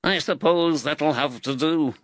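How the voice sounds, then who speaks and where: Man doing a grudging, gruff foreman, pitched up. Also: expressive, slow pace, no echo. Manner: grumbling, rough colloquial speech. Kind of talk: audiobook character dialogue.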